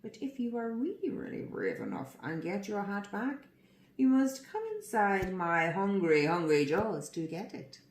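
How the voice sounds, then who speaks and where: A woman's voice: medium pitch, big pitch swings, unhurried, roomy sound, a little hiss or hum. A woman voicing a hungry male crocodile who taunts and drools over food in a story reading. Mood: taunting.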